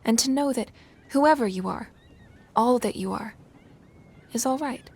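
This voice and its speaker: A woman acting a reserved, homesick young noblewoman in a Regency audio drama, soft-spoken and sincere. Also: a little high, measured pace, breathy edge. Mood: quiet, wistful.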